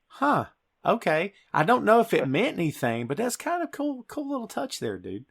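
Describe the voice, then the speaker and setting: A man's voice: high voice, huge pitch swings, normal speed, vocal fry, breathy edge. A man hosting a podcast, recapping a film in an informal, emphatic storytelling way. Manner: informal, emphatic storytelling, addressing co-host as dude. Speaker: podcast host. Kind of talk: casual film recap and discussion.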